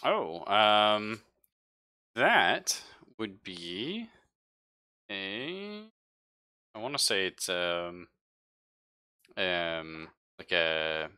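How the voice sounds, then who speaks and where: A man narrating a tabletop game casually as game master, often pausing to search for his words. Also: sits low, big pitch swings, bright, forceful tone, smooth.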